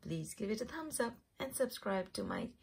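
A woman host reading a children's picture book aloud in an expressive storytelling manner. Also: mid-range voice, moderate pace, creaky, slightly rough. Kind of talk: scripted storybook read-aloud.

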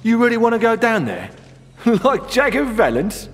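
A man's voice: very high-pitched, sing-song, normal speed, exaggerated voice. A man playing a gossipy, streetwise prison inmate in a video game, telling grisly stories and testy about interruptions. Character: gossipy, irritable male prison inmate spinning grisly tales. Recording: long echoing reverb, faint music.

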